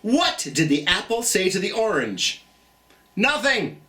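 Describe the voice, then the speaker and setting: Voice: mid-range voice, very animated, measured pace, pushed and bright, far from the mic. A children's storytime host with a theatrical, singsong manner, speaking encouragingly and directly to young listeners.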